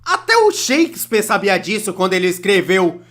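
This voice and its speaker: A Brazilian performer dubbing a young cartoonist who narrates an animated comedy video in a conversational, self-deprecating, complaining way. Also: medium pitch, normal speed. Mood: wry, explanatory. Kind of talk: scripted comedic storytime narration, fan dub.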